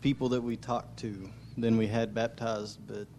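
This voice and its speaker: A man's voice: medium pitch, little pitch movement, normal speed, a little mellow, slight background noise. A man giving an informal missionary testimony in church, speaking hesitantly in short broken phrases.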